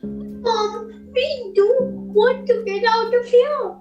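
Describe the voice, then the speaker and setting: A woman's voice: extremely high pitch, takes it slowly, darkish tone, dull audio. A woman acting a clever, wary young girl in a radio play, earnest and pleading. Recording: roomy echo, music behind.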